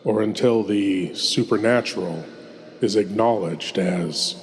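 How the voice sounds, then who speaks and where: A man hosting a horror show with scripted, theatrical delivery and deliberate pauses. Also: on the low side, slight background noise. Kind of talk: scripted horror host outro. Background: faint music.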